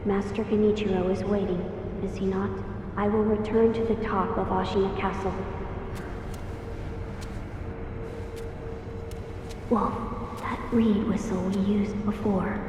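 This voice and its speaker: A woman voicing a solemn, composed young noble boy in a video game, speaking formally and gravely. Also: mid-range voice, level tone, normal speed, close to an everyday voice. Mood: resolute. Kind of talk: dramatic video game voice acting. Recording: very echoey, reverberant, faint noise.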